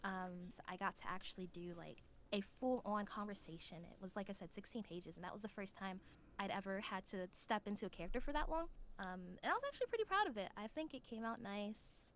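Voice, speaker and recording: A woman giving a casual interview as a voice actor, telling stories in an animated, giggly way. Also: mid-range voice, a little fast, a little hiss or hum. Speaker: voice actor, podcast guest.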